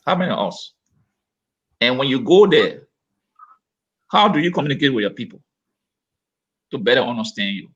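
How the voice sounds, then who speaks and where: A man's voice: mid-range voice, sing-song, moderate pace, long pauses, lo-fi sound. A man on a radio panel, asking pointed questions.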